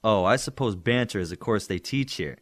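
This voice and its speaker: A man's voice: fairly low pitch, fairly quick, a bit bright, close to an everyday voice. A man voicing a curious, wisecracking young boy student in an audiobook, with a wry, questioning manner. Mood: baffled. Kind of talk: audiobook character acting.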